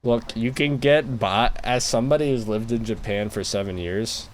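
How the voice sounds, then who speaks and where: A man's voice: medium pitch, steady tone, moderate pace, slight background noise. A man hosting a podcast in casual chat, joking and laughing often. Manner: jokey, laughs often, mock-pronounces foreign phrases. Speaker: podcast host.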